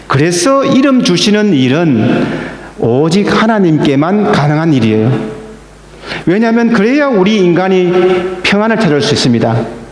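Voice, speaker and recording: A man preaching a sermon as pastor, slow and emphatic, with stressed words that rise. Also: sits high, sing-song. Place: church sanctuary. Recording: echoey church hall.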